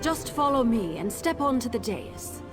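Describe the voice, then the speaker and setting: An actress playing a haughty, impatient scholar mage in a video game, curt, formal and condescending. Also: female voice, a little high, very animated, moderate pace. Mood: formal, instructive. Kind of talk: video game character dialogue. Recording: game music behind.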